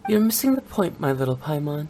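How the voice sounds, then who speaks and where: A woman's voice: low voice, big pitch swings, brisk, warm tone, slight background noise. A woman playing a young female funeral director in a video game, playful yet blunt and unsentimental, speaking in a frank, matter-of-fact way. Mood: firm, fond. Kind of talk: scripted English video game voice acting.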